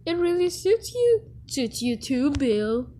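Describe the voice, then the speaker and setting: A cheeky young tank engine speaks in a high, boyish voice at an unhurried, drawn-out pace. The character is playful and excitable, straight out of a children's cartoon, with faint noise behind the clips. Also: female voice, heavily acted. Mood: sly, teasing. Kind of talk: animated children's series character acting.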